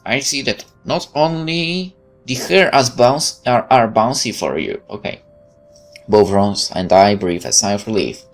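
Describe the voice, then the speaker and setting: Low, lively-pitched female gamer reading aloud. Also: unhurried, soft background music. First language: Polish. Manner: stumbles and self-corrects while reading. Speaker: let's play streamer. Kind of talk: reading game narration aloud with casual commentary.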